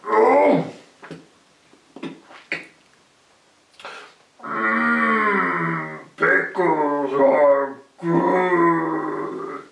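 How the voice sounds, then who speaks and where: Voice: mid-range voice, very slow, gravelly, a bit bright, voice pushed up, full character voice. A storyteller voicing a big, fat, greedy goblin from a children's storybook. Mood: greedy delight. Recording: echoey room, distant mic.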